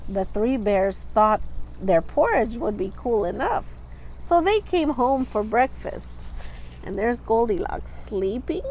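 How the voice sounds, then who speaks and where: Slow, animated woman reading a storybook. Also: on the high side, a little hiss or hum, rough recording. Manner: expressive, with simple asides to young listeners. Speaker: storytime reader. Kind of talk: children's picture-book read-aloud.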